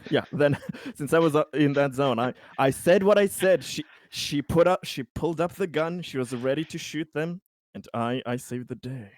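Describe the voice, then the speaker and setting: A man's voice: a little high, moderate pace, no room sound. A man talking casually as a player in a tabletop roleplaying game. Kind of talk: casual in-character game talk.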